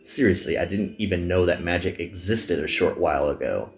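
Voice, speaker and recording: A man's voice: deep voice, brisk, faint music behind. A man reading a reluctant, self-deprecating young male magician recruit from a visual novel, dry and downbeat. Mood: doubtful.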